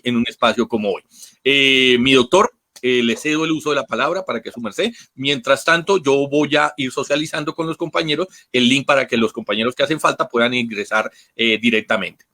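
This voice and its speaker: A man's voice: mid-range voice, sharp tone. A man from Colombia's Caribbean coast with a Bogotá accent, hosting an academic online programme informally in a wordy, repetitive, courteous way. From Atlántico, Caribbean coast of Colombia. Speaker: host of an academic talk programme.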